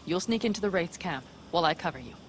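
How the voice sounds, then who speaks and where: An actress plays a blunt, assertive nomad woman from a video game. Her voice sits fairly low and she speaks matter-of-factly, like someone used to giving plans. Clear engine and ambient noise runs behind her. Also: animated, fast, natural voice. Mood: focused, briefing. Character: blunt, determined nomad woman planning a rescue.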